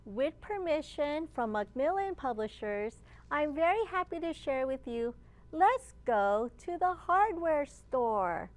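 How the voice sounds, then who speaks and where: Slow, high-voiced woman reading storytime. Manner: slow, encouraging, asks listeners simple questions. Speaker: children's librarian leading storytime. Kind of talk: read-aloud storytime for young children.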